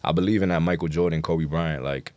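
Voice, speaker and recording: A man's voice: very deep voice, fairly even intonation, fairly quick, darkish tone, no echo. A man with a New York accent chatting casually as an interview guest, telling stories emphatically and with lots of slang. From New York City. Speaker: guest rapper.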